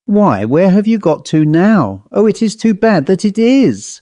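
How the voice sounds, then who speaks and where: A man performs a testy, self-important old-fashioned storybook owl, pitched up from a normal speaking voice. The bird grumbles and complains in uneducated rustic speech, always indignant, in a very dry recording. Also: very animated, unhurried, dark tone, no room sound. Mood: indignant, bitter. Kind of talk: storybook character voice.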